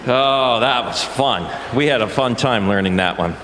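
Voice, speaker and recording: A man leading a live church concert, talking to the audience in a joking, self-deprecating way, halting with many fillers. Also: mid-range voice, fairly bright tone, a little hiss or hum. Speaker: choir director leading a singalong. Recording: roomy live recording with long church reverb.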